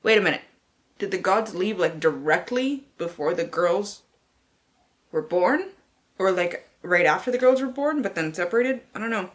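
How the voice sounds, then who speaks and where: A woman's voice: mid-range voice, animated, normal speed. A woman book vlogger chatting casually and reading blurbs aloud in a playful, theatrical way, with slang and free swearing.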